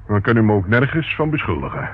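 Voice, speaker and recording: An actor playing a shifty petty crook in a radio play, talking in a sullen, sarcastic way. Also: male voice, on the low side, sing-song, fast talker. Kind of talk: radio play acting in Dutch. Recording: muffled, narrow-band, clearly noisy old radio recording.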